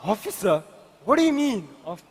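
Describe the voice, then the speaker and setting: Male actor as desperate, cornered cop, very high pitch, defiant stage delivery, heavy hall reverb. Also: big pitch swings, moderate pace, husky, faint music behind, full character voice. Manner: defiant, dramatic. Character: cornered, compromised male police officer, desperate father. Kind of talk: amateur stage drama dialogue. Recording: echoey hall, long reverb.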